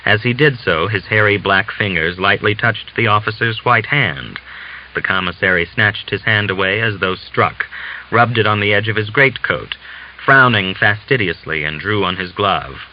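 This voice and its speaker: A man reading literary narration as an audiobook narrator, in a measured, deliberate storytelling manner. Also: fairly low pitch. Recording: dull hissy lo-fi recording.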